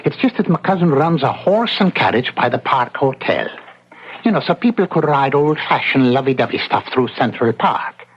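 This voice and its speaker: Raspy new policeman, officious wheeler dealer, radio play, muffled recording. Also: mid-range voice, lively intonation, normal speed. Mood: sly, cajoling. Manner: pompous legalese mixed with folksy slang. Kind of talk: old-time radio drama acting. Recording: dull old-radio sound.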